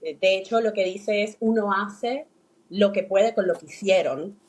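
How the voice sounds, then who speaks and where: A woman's voice: mid-range voice, unhurried, a little mellow. A woman co-hosting a philosophy podcast, engaged and explaining ideas, sometimes stumbling over repeated words. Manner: introduces guest, engaged, stammers when building a point. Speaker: podcast co-host. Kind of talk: podcast conversation.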